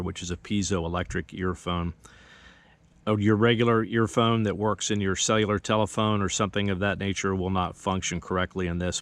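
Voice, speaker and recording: Man, lowish voice, methodical, instructional, step-by-step equipment demonstration. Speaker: presenter of radio equipment tutorial. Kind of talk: explanatory product demonstration.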